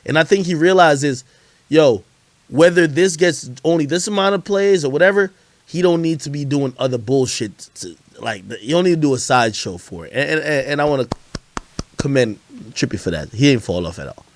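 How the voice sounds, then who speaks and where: Male livestream host, higher-pitched and very expressive, casual slangy storyteller, swears freely, dry close sound. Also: moderate pace, no room sound. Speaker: livestream host commenting on hip hop.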